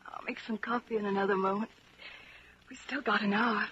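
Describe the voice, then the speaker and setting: An actress playing a bold, affectionate young woman and secret lover in a 1940s radio drama, tender and lively. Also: mid-range voice, brisk, natural voice. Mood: tender, intimate. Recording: muffled, noisy 1940s radio recording.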